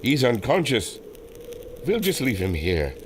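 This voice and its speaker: A man's voice: mid-range voice, wildly swooping pitch, a little slow, very noisy. A man playing an earnest, remorseful German airship adventurer with a German accent, solemn and melodramatic. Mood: alarmed, urgent. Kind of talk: old-time radio adventure serial acting.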